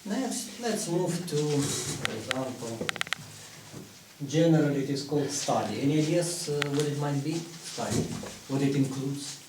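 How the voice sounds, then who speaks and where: A man's voice: fairly high pitch, lively intonation, unhurried. A man leading a teacher-training English class, correcting his students' word choices and prompting them patiently. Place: meeting room around a table. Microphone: far camera. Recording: roomy, distant, faint hiss.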